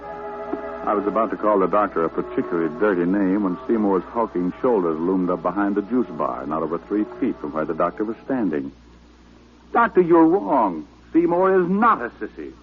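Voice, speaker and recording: A wisecracking radio detective, tinny sound. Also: male voice, low-pitched, animated, moderate pace. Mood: taunting. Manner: glib, wry. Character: wisecracking, flirtatious male private detective. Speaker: actor playing the lead detective. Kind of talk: 1940s-50s radio drama acting. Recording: tinny old radio recording, music behind.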